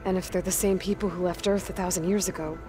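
Young adventurer heroine for a video game, played by a woman: slightly low voice, thoughtful, understated, over music. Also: fairly even intonation, fast, close to an everyday voice. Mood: concerned, puzzled. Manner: plain-spoken, thoughtful, low-key. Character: earnest, determined young female adventurer. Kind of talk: scripted video game dialogue.

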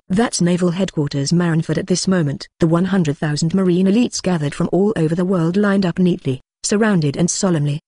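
A synthetic narrator reads a novel in a steady, even delivery of middling pitch, calling out punctuation marks as words. The sound is close and completely dry, with no room around it. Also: dry recording. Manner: steady, uninflected reading that speaks punctuation marks aloud. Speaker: audiobook narrator. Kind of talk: synthetic audiobook reading of a translated web novel.

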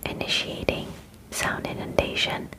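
A young woman plays a calm alien examiner in an ASMR roleplay. The voice is whispered and very slow, close on the microphone. The manner is formal and methodical, gently reassuring the human under inspection. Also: lightly acted, low-quality recording. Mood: calm, clinical. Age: 20s. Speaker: ASMR performer. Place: bedroom studio, indoors.

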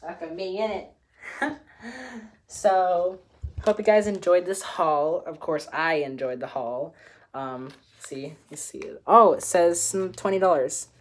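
A teenage boy chatting casually in his bedroom, showing off new clothes, giggling and filling pauses with ums. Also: fairly high pitch. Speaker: vlogger. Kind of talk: casual haul video. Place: small bedroom indoors. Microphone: camera close up at desk.

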